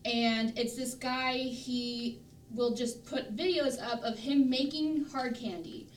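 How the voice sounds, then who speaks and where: A woman's voice: fairly even intonation, slow. A woman chatting casually and enthusiastically as she gives recommendations on a livestream panel. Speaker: guest on a charity livestream panel. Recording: roomy, distant mic, rumbling background noise.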